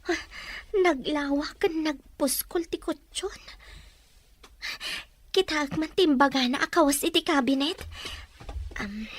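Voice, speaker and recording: A Filipino woman playing a poor young woman, naive, wary and earnest, in an audio drama. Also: sits high. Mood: amazed.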